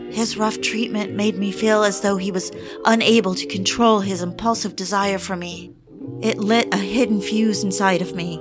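A woman's voice: mid-range voice, close to an everyday voice. Actress as a yearning woman in love, music behind. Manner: intimate, reflective. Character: romantic, yearning woman in love, recounting her affair. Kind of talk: audio drama, confessional first-person narration.

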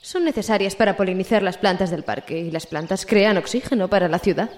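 A woman's voice: mid-range voice, fairly quick, lightly acted. A woman playing a sensible, questioning city council member in audio drama dialogue. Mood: reasoning, concerned. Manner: conversational, questioning.

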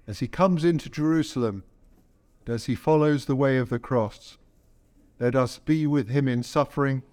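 Male clergy, voice a touch high for a man, solemn measured sermon and liturgy delivery. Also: darkish tone. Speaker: priest leading a Palm Sunday service.

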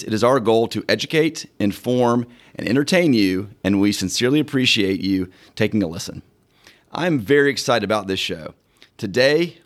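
A middle-aged man hosting a podcast in a law firm conference room, upbeat, friendly and sincere. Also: medium pitch. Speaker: podcast host and lawyer. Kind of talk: casual podcast chat.

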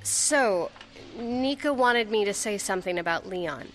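A woman's voice: medium pitch, animated, measured pace, a little hiss or hum, close to an everyday voice. A young woman playing a flustered, grieving ex-girlfriend who stammers her way through a confessional memorial speech in an audio drama. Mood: hesitant, awkward. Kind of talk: audio drama monologue, naturalistic.

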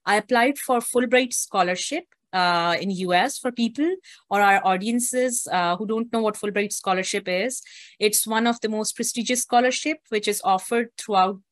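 A woman's voice: mid-range voice, normal speed, no room sound. A woman, an interview guest telling her life story earnestly, with frequent fillers. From Pakistan.

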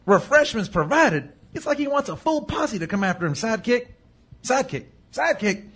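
A man's voice: very high voice, very animated, moderate pace, a bit bright, slightly rough, exaggerated voice. A man playing a bossy, exasperated gunslinging hero in a comic western audio drama. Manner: commanding, blustery.

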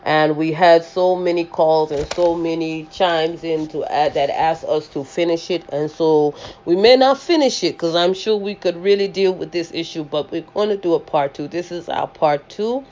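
Woman host with a loud, lower voice, rambling sermon-style podcast, dull lo-fi mic. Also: normal speed, few pauses. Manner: rambling with many restarts and fillers. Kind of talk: faith-based podcast talk.

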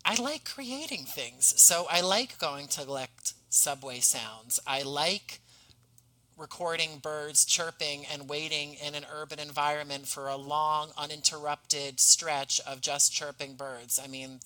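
A man's voice: fairly high pitch, measured pace, sharp tone, poor audio. A man chatting casually as a podcast guest, talking as an audio drama creator. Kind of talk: casual chat.

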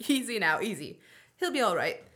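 A woman in a radio play acting a brisk, reassuring police detective. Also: mid-range voice, big pitch swings, pushed and bright.

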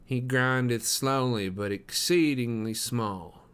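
A man's voice: medium pitch, takes it slowly, a bit bright, clear, resonant tone. A man voicing a coarse, smug young zealot in a storybook, with a nasal voice and a sanctimonious manner. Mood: pious. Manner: sanctimonious, self-satisfied.

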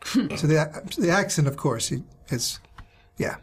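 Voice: medium pitch, lively intonation, unhurried, slightly rough. A player voicing an earnest Egyptian man raised in England.

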